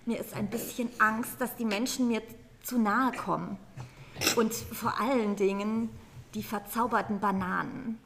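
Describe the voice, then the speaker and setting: A woman's voice: fairly high pitch, steady tone, a little slow. A woman playing a haughty, fussy queen with a stately, formal manner in an improvised audio play. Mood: regal, fretful. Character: haughty, fussy queen afraid for her dress. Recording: faint background noise.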